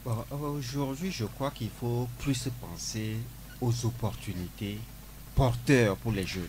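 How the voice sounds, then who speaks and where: A man speaking as a guest on a radio discussion show, talking deliberately with many hesitations. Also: mid-range voice, darkish tone, slight background noise. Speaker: studio guest.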